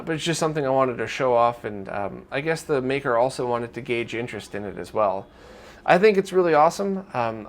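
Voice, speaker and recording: Man, mid-pitched voice, informal news host, conversational delivery, talking to viewers. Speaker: host of a weekly retro gaming news roundup. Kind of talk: casual news roundup to viewers.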